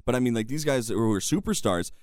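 A man's voice: medium pitch, expressive, fast talker, a little mellow, dry recording, clean studio sound. A man hosting a radio podcast interview, chatty and easygoing, laughing along with his guest. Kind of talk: casual interview.

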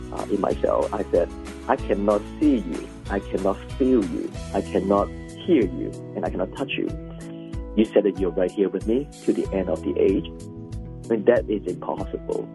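A middle-aged man from Hong Kong whose first language is Cantonese, a pastor telling his life story in an interview, halting and repeating words with many fillers. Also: low-pitched, gravelly. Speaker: pastor and chaplain, guest. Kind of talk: personal testimony interview.

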